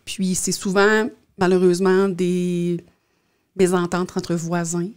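A woman's voice: fairly low pitch, little pitch movement, takes it slowly, a little mellow, smooth. A middle-aged woman with a Quebec French accent explains things plainly as an interview guest on a close studio mic. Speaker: guest, youth justice social worker. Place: small indoor radio studio. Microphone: close studio mic on boom arm.

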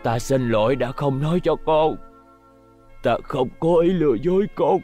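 A man's voice: a little high, moderate pace, warm tone, faint music behind, natural voice. A man playing a remorseful, tender older father explaining himself earnestly in a dubbed cartoon. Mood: apologetic. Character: remorseful older father, tender toward his robot son.

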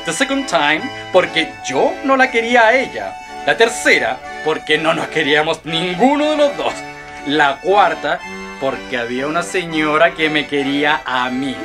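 An actor with a Chilean accent playing a boisterous, cheerful, middle-aged globetrotter in a radio play. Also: male voice, fairly high pitch, lively intonation, normal speed, over music, lightly acted. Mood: jovial bragging. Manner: exuberant, theatrical.

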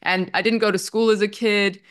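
A woman's voice: mid-range voice, little pitch movement, fairly quick, clean tone. A Dutch-born woman in her forties with a slight Canadian accent, openly telling her life story on a video call as a psychotherapist. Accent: somewhat Canadian. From Netherlands. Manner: open, reflective, with fillers like "um" and "like". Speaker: guest, psychotherapist.

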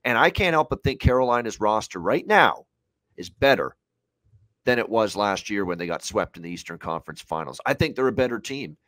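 Man, 30s, opinionated betting analyst, lowish pitch, casual talk, dry room-free sound. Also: lively intonation, a little fast, a bit bright, dry recording. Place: indoor room on a video call.

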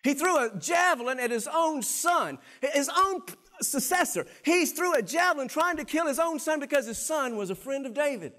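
A middle-aged man preaching a sermon from a church stage on a lapel mic, animated and swinging between quiet and loud passages. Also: extremely high pitch, normal speed. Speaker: pastor.

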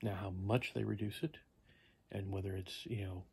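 A tired man in his fifties rambling casually to camera, halting and low-key as he thinks aloud. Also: fairly even intonation, gravelly. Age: 50s. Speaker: vlogger. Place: small living room at home, indoors. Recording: noticeable background noise.

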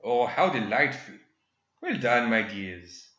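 A man's voice: medium pitch, sing-song, takes it slowly, bright, forceful tone, heavily acted. A man voicing a smug, pleasantly mocking male villain inventor who is part machine, in a theatrical manner. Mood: delighted. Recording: dull echoey room.

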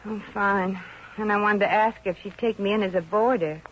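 A plucky, wisecracking showgirl, played by a radio actress, brassy and wry, with a medium-pitched voice. She talks in slang in a 1950s radio comedy. The old recording is dull and has clear noise. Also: fairly even intonation, normal speed, lightly acted. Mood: sassy.

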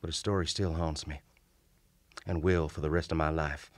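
An actor playing a haunted, wounded Civil War soldier who narrates a radio drama in a grave, formal, measured manner. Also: male voice, very deep voice, fairly even intonation, no echo. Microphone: shared vintage-style studio microphone.

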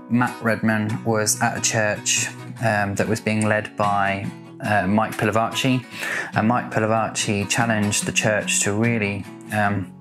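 Low-voiced man, hesitant devotional talk. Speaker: church member presenting a devotional video. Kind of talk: casual devotional talk to camera. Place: small home room with bookshelves, indoors.

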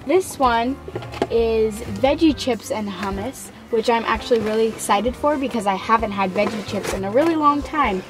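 A woman's voice: a little high, normal speed, over music. A young woman co-hosting a casual snack review in a car, earnest and a little hesitant. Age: young adult. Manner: earnest, a bit hesitant, restarts. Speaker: co-host. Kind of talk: casual chat, product review. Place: parked car. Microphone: camera mounted in car.

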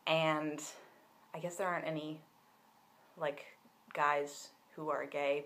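A man's voice: high-pitched, fairly bright tone. Young man chatting casually to a webcam. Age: young adult. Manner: chatty and candid, many fillers and restarts. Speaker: vlogger. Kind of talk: casual personal vlog. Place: bedroom with bookshelves, indoors.

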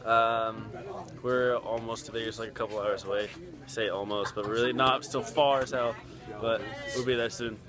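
A man's voice: fairly low pitch, steady tone, normal speed. Young American man vlogging amid background chatter. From Texas, USA. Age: young adult. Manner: slangy, mildly profane. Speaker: vlogger, college rugby player. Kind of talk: casual vlog chat to camera. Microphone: phone at arm's length. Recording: background chatter, handheld outdoor and indoor audio.

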